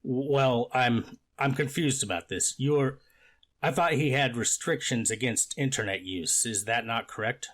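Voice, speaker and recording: A man playing an earnest, stern courtroom official in a readers theater reenactment, speaking in a measured, deliberate way. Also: mid-range voice, a bit bright. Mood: skeptical.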